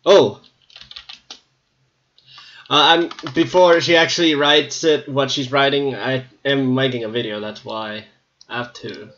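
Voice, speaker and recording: A man giving casual, distracted commentary while playing a game, laughing often and talking to himself, his voice a bit hoarse. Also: medium pitch, fairly even intonation, unhurried, long pauses, fairly bright tone, lo-fi sound. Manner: giggly, distracted, talks to himself. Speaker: gaming video creator.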